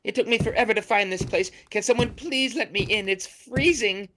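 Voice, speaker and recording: Actor playing a whiny fussy guest, all about their own comfort, middle pitch, comedy audio drama, slight noise. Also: normal speed, close to an everyday voice. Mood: impatient, pleading. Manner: fussy, wordy, complaining. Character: self-absorbed, fussy, complaining visitor named Edward. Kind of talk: comic audio play acting.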